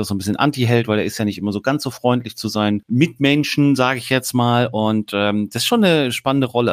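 A man's voice: on the low side, no echo, clean studio sound. A man with a northern German accent hosting a casual get-to-know chat, rambling and often breaking off mid-sentence. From Hamburg. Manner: rambling, chatty, self-interrupting. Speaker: host.

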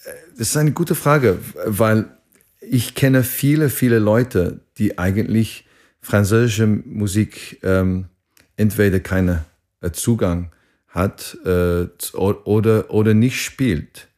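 A man. He speaks very slowly as an interview guest, reflecting haltingly and searching for words in a language that is not his first. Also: lowish voice, darkish tone. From England. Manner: halting, searching for words in non-native speech.

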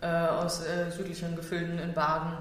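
A woman's voice: lowish voice, monotone, moderate pace. Woman, Berlin accent, sommelier, chatty, filler-heavy, long reverb tail. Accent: Berlin German. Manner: chatty, laughs easily, many fillers. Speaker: sommelier guest. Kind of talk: casual expert chat. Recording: very echoey room, faint noise.

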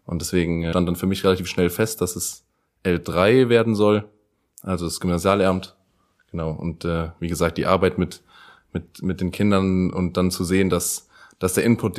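A man's voice: deep voice, darkish tone. A twenty-year-old man, a student teacher, answering casually in a rambling way with repeated words and fillers.

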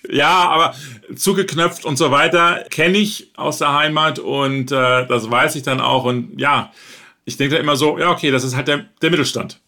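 Gravelly, bright-voiced chatty man. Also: on the high side, fairly even intonation, normal speed. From northern Germany. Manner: chatty, thinks aloud with fillers. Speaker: podcast host being interviewed. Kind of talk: relaxed conversational interview.

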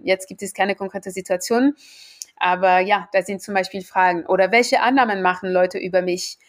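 This is a woman speaking German with a French accent, her first language being French. She answers as a guest expert in a podcast interview. Her tone is earnest, and she builds her points through emphatic repetition. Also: mid-range voice.

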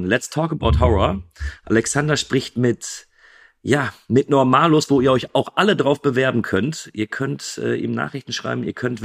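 A man in his thirties hosting a podcast, talking in a relaxed, chatty way with frequent fillers. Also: medium pitch, gravelly. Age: 30s. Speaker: podcast host. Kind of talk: casual podcast conversation.

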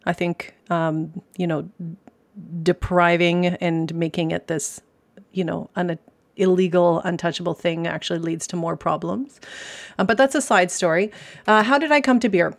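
A woman's voice: on the low side, steady tone, normal speed, vocal fry. A Canadian woman in her forties, a beer specialist talking as a guest on a podcast, chatty and thoughtful with many fillers. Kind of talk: podcast interview.

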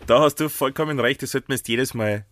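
A man with an Austrian German accent co-hosting a casual podcast, a little hoarse from a sore throat, talking in a chatty, playful way with plenty of fillers. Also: medium pitch, fast, a bit bright, no room sound, clean studio sound. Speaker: podcast co-host.

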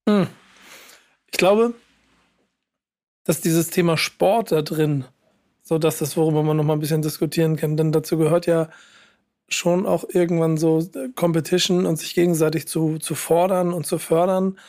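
A man hosting a casual podcast, sounding hoarse and under the weather. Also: fairly high pitch, steady tone, moderate pace, mellow.

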